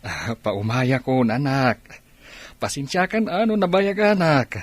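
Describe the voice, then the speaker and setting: A man playing a gentle, apologetic middle-aged father in a Philippine radio drama. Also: medium pitch, slow, no room sound.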